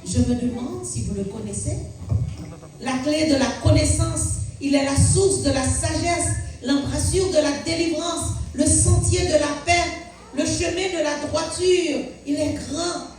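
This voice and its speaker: A woman declaims a sacred poem with solemn emphasis. Her voice is high and her phrases come slowly and deliberately. The sound is heavily reverberant, as if spoken in an echoing room. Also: a little mellow, a little hiss or hum. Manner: solemn, emphatic, declamatory, repeated rhetorical questions. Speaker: reciter of a religious poem. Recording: very echoey room, distant mic.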